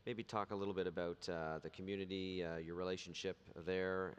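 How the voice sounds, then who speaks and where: A man's voice: deep voice, little pitch movement, brisk, smooth, muffled recording. A male interviewer who asks questions and prompts with short backchannels. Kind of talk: conference interview. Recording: long hall reverb with faint noise.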